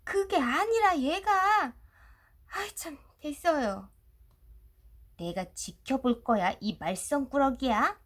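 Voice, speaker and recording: Feisty little rabbit sister for a storybook played by a woman: high, grumbling, indignant, very animated delivery. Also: slow, heavily acted. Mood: defiant. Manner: indignant, tattling, shouting. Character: feisty, suspicious, grumbling young girl rabbit, big sister. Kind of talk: storybook character voice.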